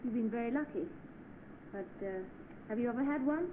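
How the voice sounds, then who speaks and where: An actress playing a brisk, dry, skeptical office secretary in 1930s film style. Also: female voice, fairly high pitch, expressive, natural voice. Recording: noisy narrow-band old film soundtrack.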